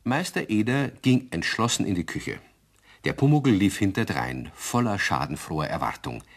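Male narrator, low husky voice, very expressive intonation, scripted storytelling. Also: moderate pace.

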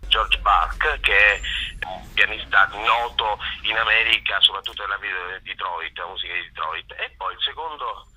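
A man's voice: lowish voice, normal speed, pushed and bright, husky, low-quality recording. A man speaking as a festival director in an informal interview, effusive and often correcting himself. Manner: enthusiastic, effusive, hesitant with frequent self-corrections.

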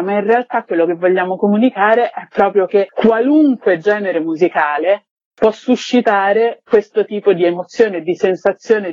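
A woman's voice: medium pitch, a little mellow, no echo. Woman guest on radio promoting a festival, chatty n enthusiastic, dry lo-fi sound. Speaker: festival organiser, interview guest. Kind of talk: informal promotional radio interview. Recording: dull, lo-fi audio.

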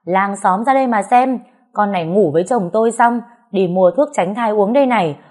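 A woman's voice: mid-range voice, lively intonation, brisk, natural voice. Woman acting a menacing, foul-mouthed thug woman. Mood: loud, accusing. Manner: taunting, threatening, barking rhetorical questions.

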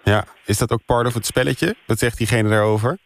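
A man's voice: mid-range voice, steady tone, a little fast, gravelly, dry recording. A man hosting a live radio phone-in, talking with listeners from a studio. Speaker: radio host. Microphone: close studio microphone.

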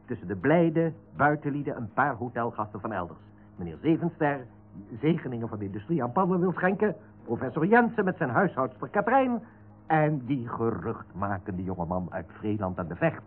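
A man's voice: a little high, husky. A man narrating a radio drama from a script. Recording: tinny narrow-band radio recording with music.

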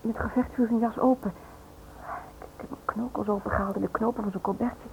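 An actress playing a shaken but strong-willed wife and mother in a radio drama, sharp-tongued and reproachful. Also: a little high, fairly even intonation, fast, gravelly. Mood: shaken, weary. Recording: noisy, tinny old radio recording.

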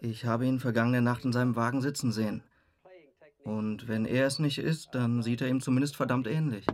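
An actor playing a brisk apartment-building receptionist, formal and matter-of-fact, in a radio drama. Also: male voice, medium pitch, fairly even intonation, darkish tone, close to an everyday voice. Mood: dry, businesslike. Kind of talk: naturalistic German radio drama acting.

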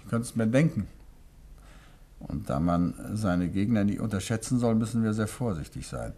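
An actor playing a suave, courteous, gently persistent detective novelist in a 1950s German radio drama, with a husky voice. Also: male voice, fairly low pitch, animated, normal speed. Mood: thoughtful, firm. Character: suave, calmly insistent male detective novelist and amateur sleuth.

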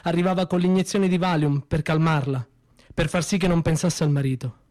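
A man performs a spoken theatre monologue, pausing for weight between phrases. His voice sits a bit high for a man, yet the tone stays soft and mellow rather than bright. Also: steady tone, no echo, studio quality. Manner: measured, grave storytelling with dramatic pauses. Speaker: storyteller.

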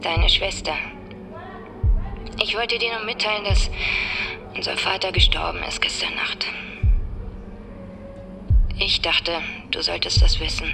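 Creaky, reserved sister on answering machine. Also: female voice, medium pitch, unhurried, long pauses, whispered. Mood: subdued, distant. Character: reserved sister leaving grave news by phone message. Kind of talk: radio drama. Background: music.

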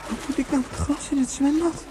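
A woman's voice: sits high, steady tone, brisk, noisy background, lightly acted. A woman playing an anxious, hurt young boy who pleads with his parents in a radio drama. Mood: hurt, pleading. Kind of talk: Dutch radio drama acting. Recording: echoey reverberant hall, music behind.

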